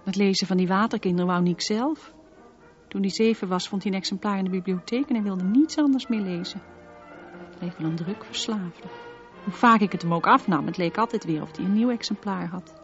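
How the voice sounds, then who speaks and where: A woman's voice: mid-range voice, natural voice. Muffled haunted mother in a radio play woman acting. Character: haunted mother recalling her dangerous, water-obsessed son. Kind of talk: radio drama monologue, confessional narration. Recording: muffled radio play recording, slight noise.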